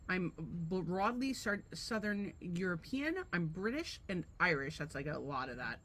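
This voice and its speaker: A woman's voice: lowish voice, big pitch swings, unhurried, fairly bright tone. Blunt, rambling woman podcast co-host. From Portuguese descent from the Azores. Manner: blunt, swears freely, rambling. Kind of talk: casual chat.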